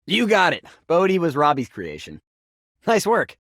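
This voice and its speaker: A man's voice: a little high, huge pitch swings, moderate pace, a bit bright, dry recording, clean studio sound, full character voice. A man voicing a friendly, geeky, playful game writer in a video game, chatty, informal and enthusiastic. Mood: upbeat, candid. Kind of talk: video game character voice acting.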